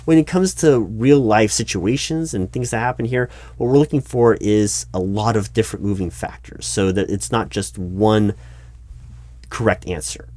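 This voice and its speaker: A man playing an earnest, slightly flustered recruiting manager in an interview roleplay, speaking in wordy, halting corporate jargon. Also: fairly low pitch, a little mellow, close to an everyday voice. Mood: businesslike, earnest. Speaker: roleplay performer. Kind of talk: one-sided interview roleplay, soft-edged office talk.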